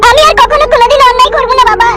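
A woman voicing a hurt, quick-to-scold young village daughter in a comedy cartoon, with a squeaky high voice. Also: steady tone. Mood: remorseful, bitter. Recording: loud music under the voice.

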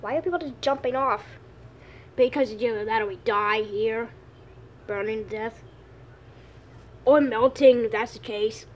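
A woman's voice: fairly high pitch, a little slow, long pauses. A woman playing a brash, playful polar bear in an amateur cartoon skit, blunt and teasing.

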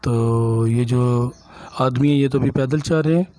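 A man, a village vlogger from Punjab, calmly explaining sights to viewers while he walks outdoors and films on his phone. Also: medium pitch, measured pace, dry recording. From Punjab, Pakistan. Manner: calm, explanatory, addresses viewers directly. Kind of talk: walking tour narration.

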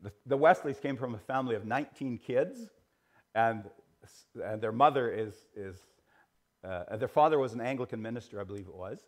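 Middle-aged guy teaching church history, mid pitch, lots of ums, trails off soft. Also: fairly quick. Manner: animated, gesturing, many fillers and restarts, trails off quietly. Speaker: Sunday school teacher. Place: small church sanctuary, indoors.

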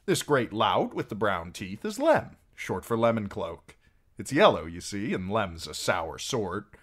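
A man's voice: on the low side, big pitch swings, fairly bright tone, breathy edge, full character voice. Man playing a lilting, roguish wandering singer. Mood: genial, sly. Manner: teasing, wheedling, drawn-out. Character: wry, genial roguish wandering male singer.

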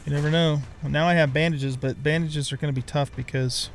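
A man's voice: a little high, fairly even intonation, fairly quick. A middle-aged man giving casual, reactive gameplay commentary, talking half to himself and half to the game. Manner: talks to himself and to the game, mild swearing. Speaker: let's play host.

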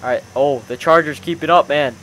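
Slangy young man, slightly high voice. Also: fairly quick, fairly bright tone, slight background noise, rough recording. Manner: slangy, exclamatory, addresses friends as bro and dude. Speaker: gaming video host. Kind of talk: casual gameplay roleplay commentary with friends.

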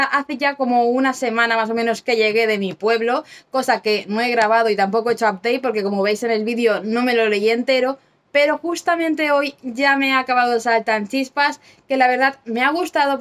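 A hoarse young woman chatting casually to camera as a book vlogger, recorded at home on a phone or camera held close. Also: a little high, a bit bright. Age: young adult. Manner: chatty, quick asides and fillers. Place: small rooms at home and an outdoor terrace.

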